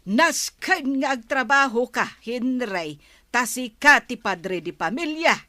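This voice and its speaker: A woman's voice: fairly high pitch, lively intonation, slow pace, pushed and bright, no echo, exaggerated voice. A woman acting as a weary elderly mother on a pension, nagging and plaintive, in an Ilocano comedy drama, with a Filipino accent. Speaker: actress in an audio comedy drama.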